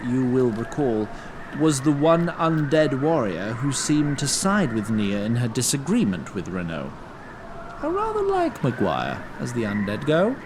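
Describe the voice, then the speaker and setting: A male storyteller of a fantasy audio drama, ornate and wry. His pitch moves widely as he drifts between grand narration and comic digression. There is clear ambient noise behind him.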